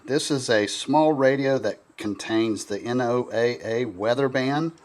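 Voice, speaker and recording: A man's voice: mid-range voice, unhurried. A man giving a casual, folksy and enthusiastic product review to camera.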